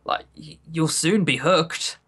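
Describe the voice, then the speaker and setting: A man with a fairly high voice co-hosts a casual review podcast. He speaks with enthusiasm and strong emphasis, and likes to joke as he makes his points. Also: slow pace, pushed and bright, slightly rough. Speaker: podcast co-host.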